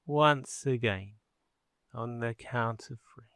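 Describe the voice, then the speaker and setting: A man guiding a sleep hypnosis session in a soothing, measured manner with long pauses between phrases. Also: medium pitch, fairly bright tone, no echo. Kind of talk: guided sleep hypnosis narration.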